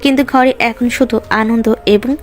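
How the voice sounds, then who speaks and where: High-voiced woman narrating a love story. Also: steady tone, moderate pace. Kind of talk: scripted romantic story narration.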